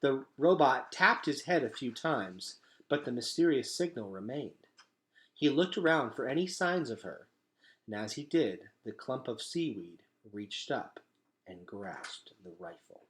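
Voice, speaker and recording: A man's voice: medium pitch, expressive, unhurried. Man reading a storybook aloud with dramatic emphasis. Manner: dramatic, emphatic delivery with hushed drops. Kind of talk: storybook read-aloud narration.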